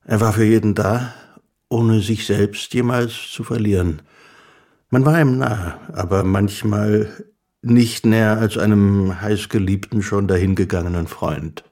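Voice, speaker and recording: Reflective admiring publisher, low husky voice, measured, radio play reminiscence. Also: male voice, a little mellow. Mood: fond, reflective. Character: admiring, reflective male publisher remembering his author.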